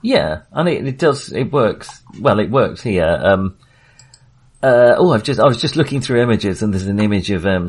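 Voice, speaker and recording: A man's voice: fairly low pitch, darkish tone, husky. A man hosting a casual film discussion, talking in a hesitant, rambling and self-deprecating way. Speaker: film podcast host.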